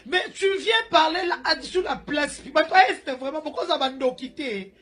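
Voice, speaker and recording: A woman delivering an angry, scolding rant with shouted bursts. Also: high voice, moderate pace.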